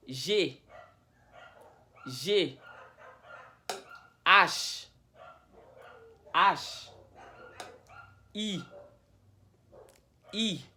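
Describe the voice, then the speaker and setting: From Haiti, casual video lesson, mid pitch, very slow delivery, repeats each letter twice. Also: a little hiss or hum. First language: Haitian Creole. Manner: spells out each letter twice, loud and drawn out; keeps checking in with viewers ("tá bom?"). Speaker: language teacher on own channel.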